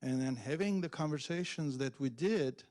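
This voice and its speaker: A man's voice: on the high side, moderate pace, a little mellow. A man speaking in a discussion after a reading, searching for words and often checking in with the listeners. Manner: hesitant, searching, often says "in fact" and "right". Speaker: speaker discussing a poetry translation. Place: public event room at a library.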